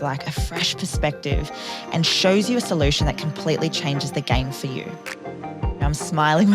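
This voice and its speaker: A woman hosting a podcast, reading an upbeat promo that speaks directly to her listeners. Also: deep voice. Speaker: podcast host. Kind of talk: promo read for the show.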